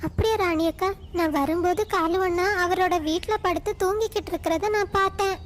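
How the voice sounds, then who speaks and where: A woman voicing a polite, caring mother sparrow who gives respectful advice in an animated moral story. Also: extremely high pitch, brisk, slight background noise, pitched above the performer's own voice. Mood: polite, concerned. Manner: respectful, gently advising.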